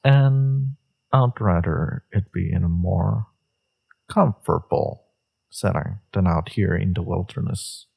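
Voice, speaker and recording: A man playing a smug, seductive villain in a romantic audio roleplay, teasing and sure of himself, with a low, gravelly, husky voice. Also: darkish tone, full character voice. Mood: smug, flirtatious. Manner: teasing, self-assured, unhurried.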